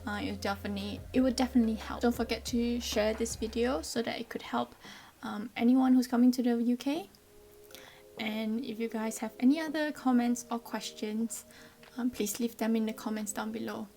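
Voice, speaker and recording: A woman's voice: on the high side, fairly even intonation, normal speed, dark tone. A woman in her twenties from Malaysia with a Malaysian accent, explaining things in a chatty, friendly way with frequent ums. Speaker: vlogger. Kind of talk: casual explainer vlog.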